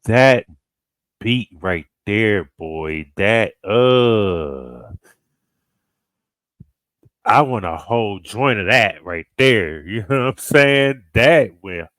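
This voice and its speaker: Male reaction host: smooth, deep voice, dry close sound, casual slangy delivery with halting phrasing. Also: animated, fairly bright tone, no room sound. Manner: slangy, halting, searching for words. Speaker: music reaction video host. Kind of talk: casual, unscripted reaction chat.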